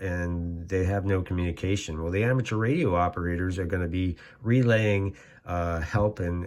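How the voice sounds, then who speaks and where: A man giving a casual, plain-spoken explainer as a video host, talking in a halting, rambling way. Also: low-pitched. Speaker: amateur radio video host. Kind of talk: casual informal explainer to camera.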